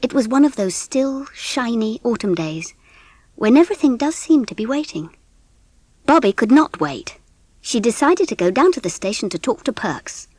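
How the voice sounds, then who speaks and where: Woman, English accent, audiobook narrator, expressive, measured, lively intonation, dry studio sound. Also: fairly high pitch, no echo. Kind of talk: scripted storybook narration.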